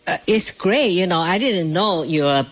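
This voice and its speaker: A woman's voice: on the low side, animated, a little slow, highs cut off. Chatty woman with non-native phrasing. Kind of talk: conversational interview.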